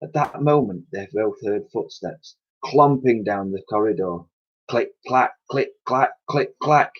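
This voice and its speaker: A man reading a children's story aloud as a teacher would, in an animated narrating style. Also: on the low side, measured pace, a little hiss or hum. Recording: dull lo-fi recording.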